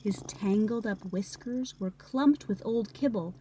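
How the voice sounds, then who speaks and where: A woman narrates a children's storybook with expressive drama. Her delivery is unhurried, with a medium-pitched voice and music running behind it. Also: slight background noise, low-quality recording.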